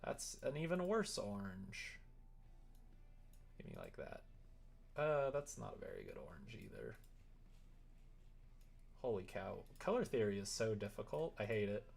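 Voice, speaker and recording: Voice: medium pitch, wildly swooping pitch, unhurried, creaky, long pauses, a little mellow, breathy edge. A livestreamer chatting casually and muttering to themselves while working, in an informal, self-deprecating way. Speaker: live streamer.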